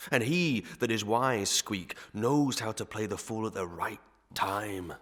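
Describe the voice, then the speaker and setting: An actor playing a pompous, sly, gluttonous parish clerk who sermonises in archaic proverbs and Latin tags in a melodrama. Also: male voice, fairly low pitch, a little slow, a bit bright. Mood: pompous, lecturing. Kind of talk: Victorian melodrama audio acting.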